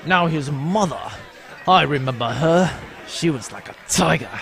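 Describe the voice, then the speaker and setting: An actor playing a cocky, flippant space pirate captain in an adventure audio drama, talking in a breezy, wry way. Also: male voice, on the high side, lively intonation, unhurried, fairly bright tone, a little hiss or hum. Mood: smug, flippant.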